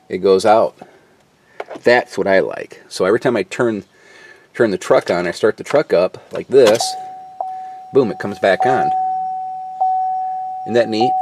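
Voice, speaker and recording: Low-voiced folksy man, noisy recording. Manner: folksy, colloquial. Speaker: DIY vlogger. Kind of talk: casual hands-on walkthrough narration. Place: in and around a pickup truck at a garage. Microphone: handheld camera mic. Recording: noisy handheld camcorder audio.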